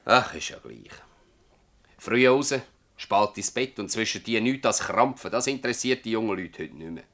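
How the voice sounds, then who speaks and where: Gruff old Swiss German farmer, low-voiced. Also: male voice, lively intonation, fairly bright tone. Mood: bitter. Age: older. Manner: emphatic, halting, earthy testimony. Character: gruff old Swiss farmer, stubborn, defensive, worn by toil. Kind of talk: dialect radio drama acting.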